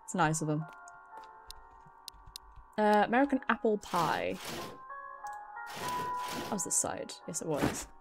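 A woman's voice: lowish voice, animated, slow pace, gravelly, long pauses, music in the background. A British woman giving casual, playful, sweary live commentary as she streams a video game. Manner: playful, sweary, chatting to viewers.

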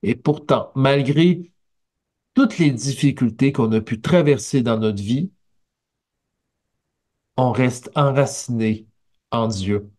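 A man with a Québécois French accent delivers a sermon at an unhurried pace, pausing between phrases and lifting his voice for emphasis. His pitch sits in a middle range, and he speaks into a headset mic on a video call from a small home office. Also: a little mellow. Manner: slow, measured preaching with pauses and rising emphasis. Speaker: pastor.

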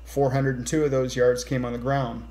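A man's voice: mid-range voice, level tone, moderate pace, warm tone. A middle-aged man giving an informal game report as a sports reporter.

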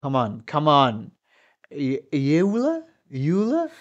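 A young man giving casual reaction commentary as a musician, in a relaxed, halting manner, often repeating words. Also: fairly high pitch, lo-fi sound. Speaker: reaction video host, musician. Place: home room with guitars. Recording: game music playing behind. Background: game trailer music.

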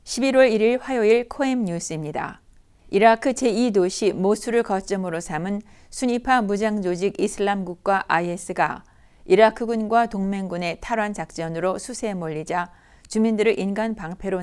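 A woman's voice: mid-range voice, moderate pace, a little mellow, smooth. A woman news anchor reading scripted news.

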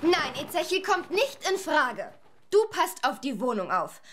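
A sensible young girl in a children's audio drama. Her high voice is natural, firm and direct, with faint noise behind it. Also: big pitch swings, measured pace, a bit bright, exaggerated voice. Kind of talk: children's radio play acting.

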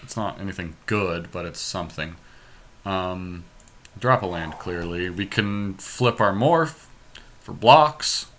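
Male gameplay podcaster, on the low side, casual running commentary, noticeable background noise. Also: slow, fairly bright tone. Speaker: podcast host playing an online card game.

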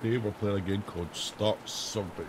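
A man in his thirties, hoarse and raspy after days of singing, hosting a casual gaming video where he reads questions aloud and answers them with jokes. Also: fairly low pitch, steady tone, normal speed, background noise. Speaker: gaming channel host. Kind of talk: casual let's-play commentary. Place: home room, indoors. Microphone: headset.

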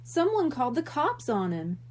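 A woman's voice: high voice, wildly swooping pitch, moderate pace, a little mellow, exaggerated voice. A woman voicing a curious, puzzled young boy in a storybook mystery. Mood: reasoning.

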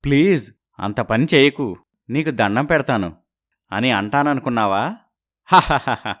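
An actor plays an arrogant, scheming husband in a dramatized moral story. The voice sits at a middle pitch. He boasts, mocks and threatens with a sneering, careless superiority. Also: animated, a little slow, fairly bright tone. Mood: mocking, gloating. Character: arrogant, scheming, mocking husband living in America.

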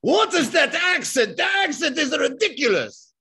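A man putting on an indignant Latin luchador with a Latin American accent and theatrical broken English, raspy in tone. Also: high voice, very animated, moderate pace, a bit bright, heavily acted. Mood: outraged. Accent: put-on Latin American. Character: indignant, loud Latin luchador show-stealer.

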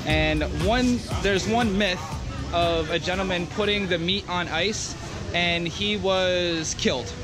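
High-pitched man vlogging over loud music. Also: slow. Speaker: travel vlogger. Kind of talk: casual vlog chat with joking commentary. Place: busy outdoor street market and streets. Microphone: handheld action camera at arm's length. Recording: loud music over outdoor action-camera sound. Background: music, traffic.

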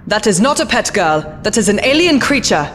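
A woman's voice: medium pitch, sing-song, fairly quick, fairly bright tone, long reverb tail, a little hiss or hum. A woman voicing a scheming, haughty alien princess who gives orders with contempt. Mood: commanding. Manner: haughty, commanding.

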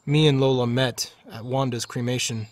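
A man's voice: medium pitch, steady tone, moderate pace, natural voice. A man playing a bossy, impatient expedition leader in an audio drama. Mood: reflective.

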